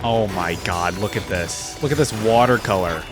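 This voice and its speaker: A man reacting to videos as a host, effusive and slangy, thinking out loud in casual commentary. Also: on the low side, moderate pace. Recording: game audio and music behind.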